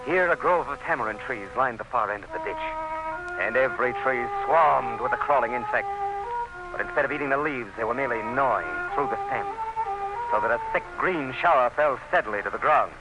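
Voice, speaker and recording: An actor playing an earnest, anxious commissioner with a solemn, dramatic delivery, as in an old-time radio drama. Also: male voice, medium pitch, very animated, fairly quick, music in the background, poor audio. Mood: tense narration. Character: earnest, anxious commissioner recounting a desperate siege. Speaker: narrator and character.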